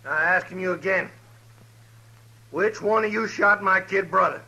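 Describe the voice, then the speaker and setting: An actor playing a vengeful, menacing outlaw gang leader in a 1950s radio Western. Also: male voice, sits high, unhurried, sharp tone, full character voice, poor audio. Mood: menacing, demanding. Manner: threatening, rural Western drawl talk. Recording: old 1950s radio recording.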